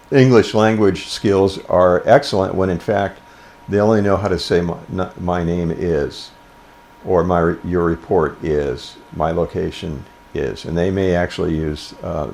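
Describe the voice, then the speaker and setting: An older man giving an informal instructional talk about a hobby, speaking plainly and with deliberate phrasing. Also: deep voice, a little mellow. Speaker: amateur radio presenter.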